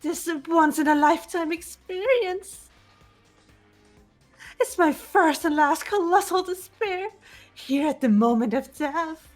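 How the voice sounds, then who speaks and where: A woman's voice: very high voice, expressive, takes it slowly, soft background music, full character voice. A woman voicing a manic teenage girl mastermind villain from a video game, theatrical, mocking and gushing. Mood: ecstatic, gloating. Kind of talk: fan voice acting of a video game script.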